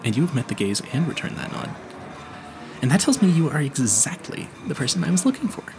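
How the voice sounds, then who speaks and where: A man's voice: fairly high pitch, wildly swooping pitch, brisk, background noise. A man playing an awkward, smitten young vampire hunter in a romantic audio roleplay, stammering nervously and apologizing politely. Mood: nervously earnest.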